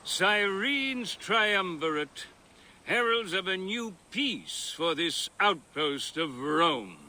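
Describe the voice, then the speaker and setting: An actor playing an effusive Roman architect and scholar, speaking in a grandiloquent, theatrical way for a video game. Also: male voice, high voice, big pitch swings, takes it slowly, pushed and bright, full character voice. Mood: lofty, jovial. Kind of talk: video game cutscene acting.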